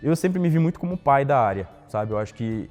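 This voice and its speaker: A young man speaks at a middle pitch in a casual, reflective way, often tagging his sentences with "né". His voice trails with a long reverb, and faint music plays behind him. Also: expressive, brisk, a little mellow. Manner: casual, reflective, many fillers like "né". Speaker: guest, economics graduate. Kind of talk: interview answers.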